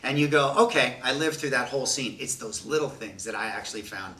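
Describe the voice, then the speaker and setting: A man's voice: medium pitch, fairly quick, pushed and bright. A male voice actor talking reflectively about his roles in a casual cast chat, repeating himself for emphasis. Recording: roomy sound, mic at a distance, faint room noise.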